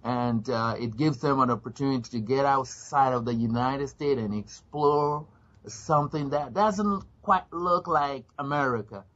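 A man from Africa giving reflective interview answers as an educator, with deliberate, emphatic phrasing. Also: medium pitch, fairly bright tone. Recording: dull, lo-fi interview recording.

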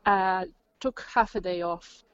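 A woman's voice: mid-range voice, takes it slowly, fairly bright tone, smooth, no echo. Woman, dry and muffled. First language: Polish. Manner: plain, matter-of-fact with fillers. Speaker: interview guest. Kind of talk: casual storytelling. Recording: dry, dull lo-fi recording.

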